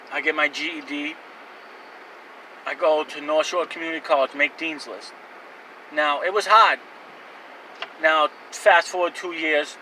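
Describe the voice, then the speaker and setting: A young man in his twenties speaks his life story in a loose, rambling way, his voice very bright and a little high. He sits some distance from the mic, with faint outdoor and traffic noise behind him. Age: 20s. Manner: rambling, candid, with fillers and restarts. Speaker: vlogger. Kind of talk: personal life story to camera.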